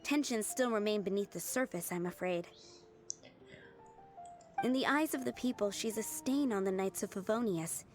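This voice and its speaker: An actress voicing a kind young female cook in a video game, speaking in a gentle, earnest way. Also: medium pitch, moderate pace, long pauses, faint music behind, lightly acted.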